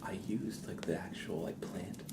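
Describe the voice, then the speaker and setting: Soft, low-voiced man, often whispering. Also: steady tone, moderate pace. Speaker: ASMR livestream host. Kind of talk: whispered, chatty live ASMR. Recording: clear background ambient noise.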